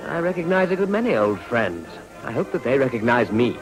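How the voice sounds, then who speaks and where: An actor playing an urbane, world-weary Edwardian gentleman with an upper-class English accent and a dry, epigrammatic manner in a period radio drama. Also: medium pitch, very animated, fairly quick, over music, low-quality recording. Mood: courteous, wry.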